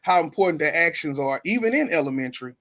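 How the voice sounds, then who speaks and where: A man's voice: on the high side, animated, quick pace, fairly bright tone. A man hosting a podcast, an educator, talks in a friendly way with many fillers and frequent agreeing interjections.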